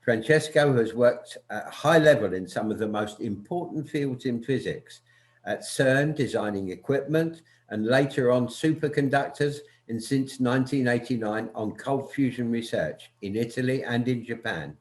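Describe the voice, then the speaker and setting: A man's voice: medium pitch, normal speed. A man co-hosting a talk show, opening the episode and introducing the guest over a video call. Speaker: co-host.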